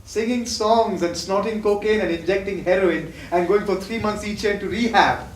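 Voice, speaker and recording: A man's voice: high-pitched, normal speed, lightly acted. A man acting a sick, tormented addict confessing lost love in an impassioned, poetic stage-play style. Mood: scornful. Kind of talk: theatrical stage play dialogue. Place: theatre stage, recorded from afar. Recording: distant, echoey, noisy stage recording.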